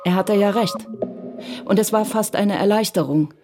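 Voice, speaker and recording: A woman's voice: mid-range voice, moderate pace, music in the background. A woman reading scripted literary narration as the narrator of a radio crime drama.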